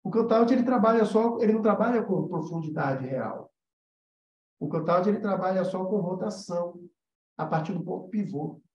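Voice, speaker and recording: A man's voice: high voice, animated, moderate pace, darkish tone. A Brazilian man giving an informal live lesson as a teacher, conversational and emphatic, repeating key points and checking that listeners follow. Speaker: animation teacher hosting a live class. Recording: roomy echo, slightly dull sound.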